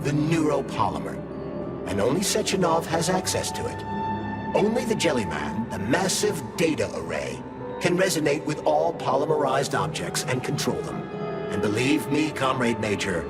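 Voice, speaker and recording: A man's voice: medium pitch, close to an everyday voice. An actor voicing a sardonic male AI companion who lectures in a dry, explanatory way in a game. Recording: game cutscene with loud music.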